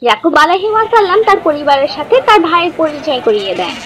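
A woman narrating a scripted children's story in a clear, instructive manner. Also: sits high, sing-song, fast, a bit bright, a little hiss or hum. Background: background music.

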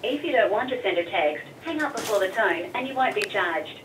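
A woman of average pitch delivers a scripted voicemail announcement through a telephone speaker. It sounds far off and echoey, with noticeable noise. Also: animated, brisk. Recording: noisy distant speakerphone in echoey office.

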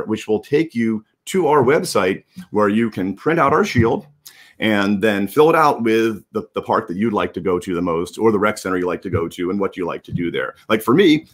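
A man's voice: lowish voice, expressive, normal speed. A middle-aged man, a livestream producer, talking casually on a video call from a room at home. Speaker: producer, co-host. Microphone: video call mic.

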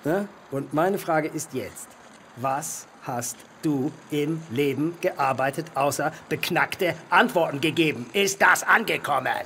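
An actor in a radio play playing an impatient, flippant heavenly clerk, sarcastic and colloquial. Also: male voice, a little high, measured pace, pushed and bright, a little hiss or hum.

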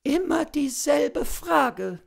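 A man voicing an irritable, self-pitying sick gentlewoman, fretful and aggrieved, in a classic story reading. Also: very high-pitched, expressive, drawn-out words, creaky, pitched above the performer's own voice, full character voice. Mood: bitter.

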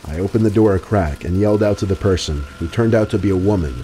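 A man narrating scripted true horror stories in a steady, even way. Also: sits low, fast talker, slight background noise. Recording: faint music under the voice.

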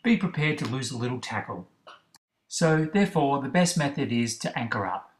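Aussie guy explaining fishing spots, steady how-to voice, echoey room sound. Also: mid-range voice, moderate pace. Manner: steady, instructive delivery. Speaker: fishing channel host. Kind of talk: instructional voice-over about kayak fishing spots. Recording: roomy, echoey recording.

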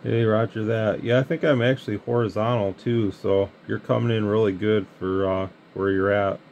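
Low-voiced Midwestern man on CB radio. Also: moderate pace, gravelly, muffled recording. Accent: American Midwestern. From Michigan, United States. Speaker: radio hobbyist, channel host. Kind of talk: casual CB radio chat. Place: indoor radio bench. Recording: steady background hiss and radio noise.

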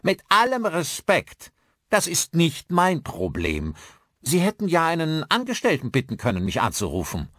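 A man's voice: fairly high pitch, wildly swooping pitch, moderate pace, a bit bright, no room sound, clean studio sound, voice pushed up, full character voice. A man voicing an irritated, haughty male client with a curt, emphatic manner, as in an audiobook. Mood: indignant. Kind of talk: audiobook character acting.

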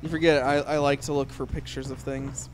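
A man's voice: medium pitch, flat delivery, brisk, noisy background. A man playing through a game on a let's-play, joking easygoingly with his co-host. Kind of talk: casual let's-play banter.

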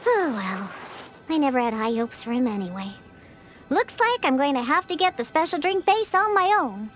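A woman's voice: sits high, sing-song, moderate pace. A woman voicing a sassy, grumpy cat-eared little girl bartender in a video game, huffy and haughty. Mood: resigned, scornful. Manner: huffy, haughty, emphatic delivery. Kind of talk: scripted video game dialogue. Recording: music behind. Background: background game music.

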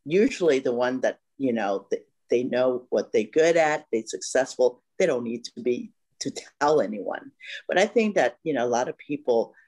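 A woman's voice: extremely low pitch, animated, moderate pace, darkish tone. A woman in her fifties, from Vietnam, talking casually over a laptop microphone on a video call. She speaks hesitantly, stopping often for "you know" and restarting her sentences. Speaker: guest. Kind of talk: casual interview chat. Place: home room by a bright window.

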